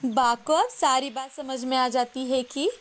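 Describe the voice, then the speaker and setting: A woman's voice: high-pitched, measured pace, a bit bright. A woman storyteller reading scripted moral stories for children in narration style.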